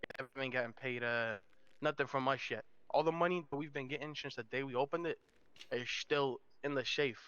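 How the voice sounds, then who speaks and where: A man playing a slangy, halting mafia underling in a crime roleplay game, over slightly dull lo-fi voice chat. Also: medium pitch, fairly bright tone, clean tone, no echo, natural voice. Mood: explaining, frank. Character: street-talking mafia underling who manages the family business.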